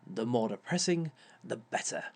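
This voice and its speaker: A man's voice: medium pitch, animated, moderate pace. A man playing a smug male villain who gives romance advice in a wry, mock-sympathetic way and chuckles often at his own words. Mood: wry, amused. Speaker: villain relationship expert. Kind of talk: audio roleplay monologue.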